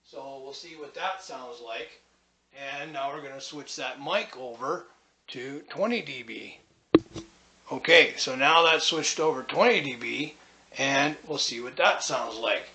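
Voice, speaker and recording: Rough-voiced man rambling through a gadget review. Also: medium pitch, measured pace, background noise. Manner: rambling, talks while fiddling with gear, many fillers. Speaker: product reviewer. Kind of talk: casual hands-on product review. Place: small bedroom at home, indoors. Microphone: camcorder's own shotgun mic, from a few feet to eight feet away.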